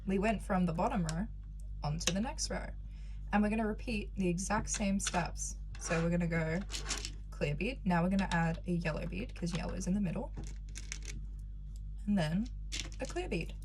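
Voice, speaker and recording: A young woman recovering from a cold, calmly walking viewers through a beading craft step by step. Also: lowish voice, normal speed, long pauses, noisy background. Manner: calm, patient instructions, counting out beads. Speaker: craft tutorial maker.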